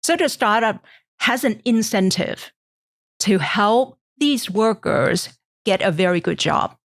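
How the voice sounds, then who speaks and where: A middle-aged woman from Thailand, explaining things in measured podcast answers with frequent ums. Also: mid-range voice, animated, no echo, clean studio sound. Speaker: guest investor.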